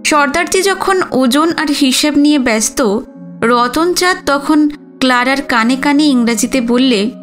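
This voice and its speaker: High-pitched woman narrating a story. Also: a little slow. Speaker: audiobook narrator. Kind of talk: dramatic literary story narration. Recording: music under the voice. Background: background music.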